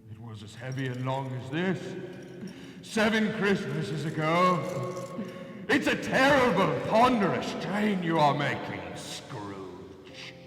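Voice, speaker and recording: In a reverberant theatre, a doomed, remorseful male ghost for a radio play. The voice is fairly high and slow, swinging widely in theatrical, solemn declamation. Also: very animated, a bit bright, soft background music, heavily acted. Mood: grave, bitter. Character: doomed ghost of a dead businessman, remorseful, wailing in chains. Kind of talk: live radio play acting. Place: theatre stage, live performance at featured mics. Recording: echoey theatre stage, long reverb.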